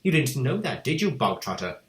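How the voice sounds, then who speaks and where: Booming cruel headmistress, put on by a male reader, shouting insults, roomy echo. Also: very animated, brisk, lightly acted. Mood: falsely sweet. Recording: echoey room.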